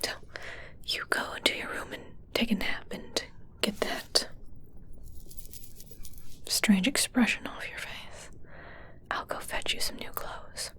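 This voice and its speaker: A woman playing an evil witch in an ASMR roleplay, sly and coolly amused, teasing and menacingly sweet in a breathy whisper. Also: takes it slowly, long pauses, sharp tone.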